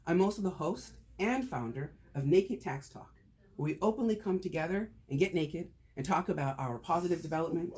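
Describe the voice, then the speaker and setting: A man's voice: on the high side, lively intonation, brisk, darkish tone. A man talking casually to a small group, then presenting on taxes with jokes and rhetorical questions. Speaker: tax advisor giving a talk.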